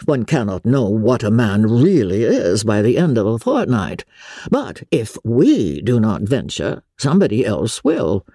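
A woman voicing a dry, unruffled middle-aged gentleman father in a classic novel audiobook, measured, deadpan and mock-solemn. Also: a bass voice, breathy edge, dry recording, full character voice.

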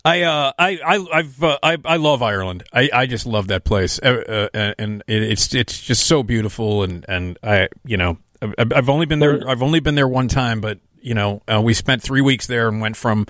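A man's voice: mid-range voice, moderate pace, hardly any pauses, a bit bright, no echo. Male radio host from Chicago, close dry studio mic, chatty and stammering. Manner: chatty, stammering with repeated words. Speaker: radio talk show host. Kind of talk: radio interview.

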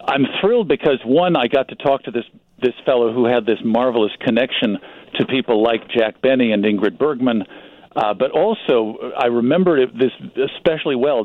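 An American man in middle age talks as a radio interview guest. He tells his stories with reflective enthusiasm at a medium pitch, recorded very dry. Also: dry recording, phone-quality sound. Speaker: magazine publisher and writer, radio guest. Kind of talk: anecdotal radio interview talk.

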